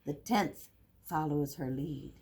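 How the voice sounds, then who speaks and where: A woman's voice: low voice, slow pace, vocal fry, a little mellow, slightly rough. An older woman reading a picture book aloud to young children like a teacher, adding simple explanations and counting along. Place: indoor classroom with wooden furniture. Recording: noisy room recording with clicks.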